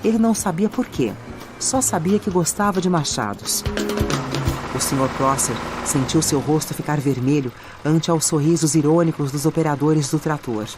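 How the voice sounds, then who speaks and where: A woman's voice: sits low, moderate pace. A woman with a Brazilian accent reading scripted audiobook narration. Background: music and added sound effects.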